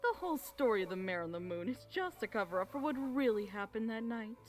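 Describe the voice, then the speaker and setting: A woman playing a cold, manipulative pony queen in a dramatic fan fiction audio reading, speaking slowly and deliberately. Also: on the high side, animated, lightly acted. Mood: cold, confiding. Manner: slow, menacing, silky and deliberate. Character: sinister regal mare ruler, cold and manipulative. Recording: voice over background music.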